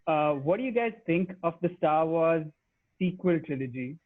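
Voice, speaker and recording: Higher-pitched man on tinny line. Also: animated, moderate pace. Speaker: podcast co-host. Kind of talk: casual interview chat. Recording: thin, phone-like call audio.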